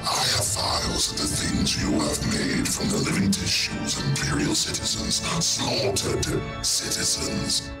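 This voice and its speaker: A man's voice: measured pace, hardly any pauses, close to an everyday voice. A man playing an ancient, sardonic cyborg scientist-priest in a pompous, theatrical dramatic reading. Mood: accusing. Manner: theatrical, pompous, drily mocking. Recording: echoing effect under loud music.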